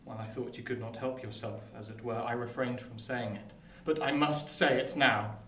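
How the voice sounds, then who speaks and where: A man playing an earnest, lovelorn young gentleman in a radio play, speaking formally and with pleading passion. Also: mid-range voice, steady tone, moderate pace, natural voice. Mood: tender, pained. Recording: echoey, distant, noisy room.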